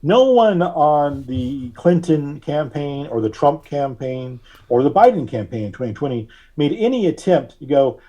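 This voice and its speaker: A man speaking as a podcast guest, giving conversational political commentary in a measured, wry, halting way. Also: on the high side, very animated, warm tone, dull audio.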